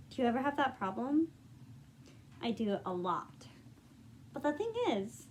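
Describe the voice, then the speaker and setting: A woman hosting story time for children, reading and chatting in a playful, expressive way as if to friends. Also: high voice. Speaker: children's storyteller and show host. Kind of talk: animated storybook reading and chat to young viewers.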